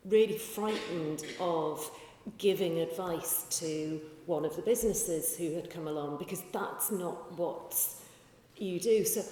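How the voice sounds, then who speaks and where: A woman's voice: lowish voice, mellow, slight background noise. A woman panelist answering a question thoughtfully, with fillers and restarts. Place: large conference hall. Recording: echoey hall from far off.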